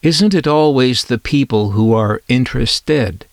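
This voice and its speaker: A man's voice: mid-range voice, measured pace, dry recording, clean studio sound. A middle-aged American man narrating a scripted but conversational collector's presentation, with wry, musing asides.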